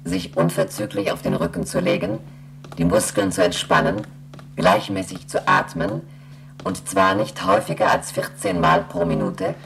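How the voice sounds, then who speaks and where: A man playing a slow, emotionless space station computer in a radio play, reciting formal announcements in a deliberate monotone. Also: deep voice, creaky, a little hiss or hum. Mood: impassive, formal.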